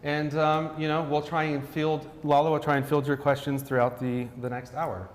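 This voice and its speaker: A middle-aged man with a fairly high voice hosts a live-streamed hair class with upbeat, promotional energy. He is recorded in a roomy studio whose sound rings out with a long reverb. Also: level tone, normal speed. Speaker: host.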